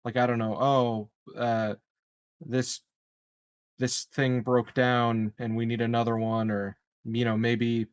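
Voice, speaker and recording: A man's voice: medium pitch, level tone, slow pace. A man playing a tabletop game, rambling in casual chat with lots of "like" and "you know" and some swearing. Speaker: player.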